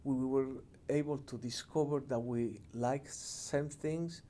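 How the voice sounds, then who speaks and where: A middle-aged man from Ecuador with a Spanish accent, giving reflective interview answers in a measured, halting, earnest way. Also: medium pitch, steady tone, warm tone. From Cuenca, Ecuador. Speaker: international development professional, interviewee.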